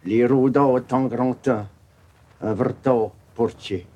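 A man speaking in the Gruyère patois of Franco-Provençal, telling a story from an archive dialect recording. His voice sits in an ordinary middle pitch, and he delivers it in a slow and measured way. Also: steady tone, warm tone, dull audio.

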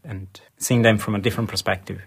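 A man's voice: on the low side, steady tone, fast talker, creaky, slightly rough. A man from Italy, a guest in a studio interview, speaking in a hesitant way as he searches for words and recalls his experience. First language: Italian. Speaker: guest, video journalist. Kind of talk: casual recollection in interview.

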